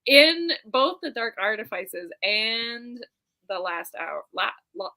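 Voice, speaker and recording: A woman chatting casually as co-host of a book discussion, giggly and halting, often breaking off to ask questions. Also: a little high, lively intonation, sharp tone. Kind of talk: casual chat.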